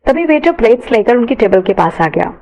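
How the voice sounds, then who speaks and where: A woman's voice: a little high, sing-song, fairly quick, a little mellow. A woman reading scripted narration for an audio story.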